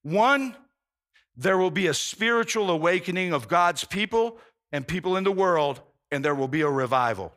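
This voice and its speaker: Guy preaching, chatty and earnest, keeps restarting and saying man, pretty high bright voice. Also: expressive, normal speed. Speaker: pastor. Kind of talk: conversational sermon.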